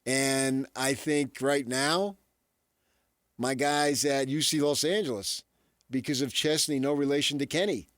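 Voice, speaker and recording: A man with a medium-pitched voice talks sports casually as a radio co-host. He sounds close to the microphone. The studio is dry, with no room sound. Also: unhurried, fairly bright tone, no echo. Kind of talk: casual sports talk banter.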